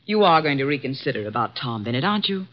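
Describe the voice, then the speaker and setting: Prim secretary who won't back down, crisp and lively, muffled old radio sound. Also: female voice, very deep voice, fast talker, a little hiss or hum. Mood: pointed. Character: prim, long-serving office secretary woman, defiant. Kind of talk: 1950s radio drama acting. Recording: dull lo-fi 1950s radio broadcast.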